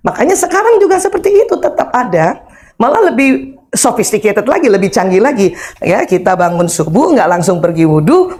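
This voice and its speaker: A woman in her fifties giving a lively, humorous parenting seminar, chatting with her audience and quizzing them with questions. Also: mid-range voice. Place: seminar hall before an audience.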